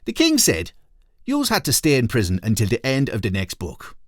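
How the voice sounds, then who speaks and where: A man's voice: mid-range voice, huge pitch swings, normal speed, no room sound, studio quality, voice pushed up. A man voicing a hesitant, naive and gullible villager from a children's storybook.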